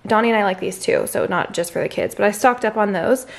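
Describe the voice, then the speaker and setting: A woman's voice: mid-range voice, fast talker, gravelly. A woman in her thirties chatting casually through a grocery haul in her home kitchen, filmed on a handheld vlog camera. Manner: chatty, upbeat, lots of fillers. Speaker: vlogger.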